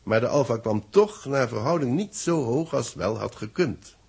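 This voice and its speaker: An actor playing an authoritative man dictating the story of a space mission, measured and formal, in a 1960s radio drama. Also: medium pitch, lively intonation, gravelly, a little mellow, breathy edge, exaggerated voice. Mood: explanatory. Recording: muffled-voiced old 1960s radio recording.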